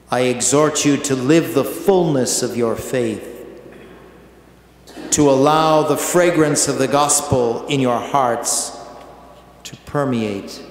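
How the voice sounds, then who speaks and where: An older American man, an archbishop, reading a homily in a measured, solemn way with long pauses in a large church. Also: medium pitch. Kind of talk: slow read homily. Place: large shrine church, indoors. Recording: echoey church with long reverb.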